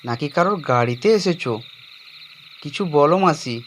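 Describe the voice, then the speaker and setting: A man playing an anxious young man with a guilty past, telling and acting out an animated horror story in a dramatic, suspenseful manner. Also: a little high, moderate pace, a little hiss or hum, lightly acted. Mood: puzzled. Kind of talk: dramatized first-person horror story narration.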